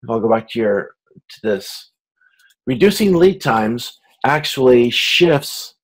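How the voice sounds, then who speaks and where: A man's voice: medium pitch, sing-song, slow, slightly rough, low-quality recording. A man lecturing informally to a class, explaining step by step and often repeating and rephrasing himself as he goes. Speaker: university course lecturer.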